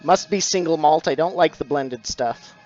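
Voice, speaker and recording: A man's voice: on the high side, moderate pace, darkish tone, muffled recording, soft background music. Chatty dorky middle-aged man. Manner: chatty, dorky dad humor, thanks followers. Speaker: stained glass streamer. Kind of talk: casual live-stream chat.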